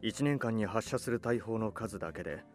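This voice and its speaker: Man as a low-voiced, knowing owl. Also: animated, normal speed, over music, lightly acted. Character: knowing, learned male owl. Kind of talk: Japanese radio drama acting.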